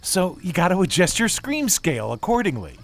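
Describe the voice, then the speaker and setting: An actor playing a laid-back, self-assured DJ with deadpan delivery in casual audio drama banter. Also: male voice, sits high, fairly quick, gravelly, exaggerated voice. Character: laid-back deadpan DJ explaining absurd theories.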